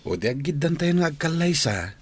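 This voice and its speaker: A man's voice: a little high, very animated, brisk, breathy edge. A man playing an eager, genial engineer in a radio comedy drama. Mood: lovestruck, delighted. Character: eager, lovestruck male engineer, genial boss, marriage-minded. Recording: faint vehicle noise behind.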